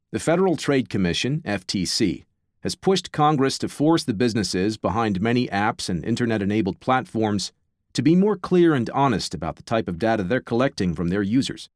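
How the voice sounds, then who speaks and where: American man narrating with lively, swooping intonation. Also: medium pitch, dry recording, clean studio sound. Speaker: audiobook narrator. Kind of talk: scripted nonfiction narration. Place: dry, close studio recording.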